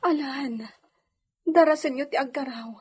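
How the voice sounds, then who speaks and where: A woman's voice: high-pitched, unhurried. A woman playing an oppressed, submissive young wife in a radio drama, secretive and pleading in manner. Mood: secretive, urgent.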